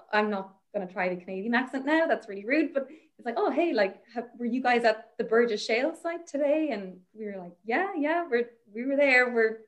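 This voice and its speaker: Young Irish woman, fairly high voice, rambling story with lots of laughs, casual podcast guest. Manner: rambling storyteller, laughs often, many fillers. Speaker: guest researcher.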